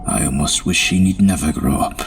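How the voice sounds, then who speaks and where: A man playing a grave, introspective asylum doctor, reading his journal in a solemn, measured way for an audio drama. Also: a bass voice, vocal fry, faint music behind. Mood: brooding, weary. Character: grave, brooding gentleman asylum doctor, a doting father. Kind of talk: first-person journal narration in audio drama.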